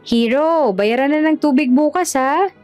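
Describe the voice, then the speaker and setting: A woman voicing a nagging but caring older landlady, brisk and motherly, in an audio drama. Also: sits high, a little mellow, soft background music, voice pushed up.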